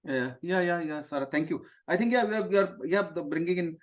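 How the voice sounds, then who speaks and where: A man's voice: on the high side, fairly quick. A man from India whose first language is Gujarati, moderating a webinar over a video call and rambling with many fillers. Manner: rambling, heavy "you know" fillers and restarts. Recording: dull lo-fi call audio.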